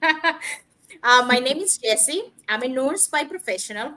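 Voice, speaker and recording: A woman from Cuba co-hosts the show, reading lists of panelists and schedules. Her voice is fairly high and bright, with an edge of tension. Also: animated, moderate pace. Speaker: co-host and panelist. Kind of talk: casual talk show chat.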